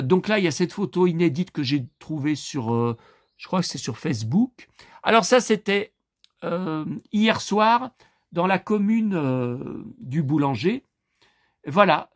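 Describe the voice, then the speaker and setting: A man's voice: on the high side, measured pace, no echo, studio quality. A man in his fifties, a collector vlogging casually, rambling with many fillers as he talks straight to his viewers. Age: 50s. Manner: indignant and animated when riled. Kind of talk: casual screen-share vlog.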